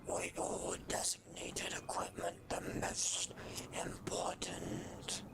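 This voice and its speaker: A man playing a menacing, cold reptilian leader in a sci-fi audio drama, speaking formally in a raspy hiss. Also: in a whisper, lightly acted. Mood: solemn, cold. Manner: slow, deliberate, formal.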